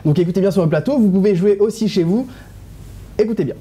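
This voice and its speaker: A man's voice: high voice, fast, mellow, husky. A young man in a studio presenting a celebrity news column, playful and often laughing, sometimes losing his place in his notes. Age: young adult. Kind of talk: playful scripted TV column with banter. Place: TV studio panel set.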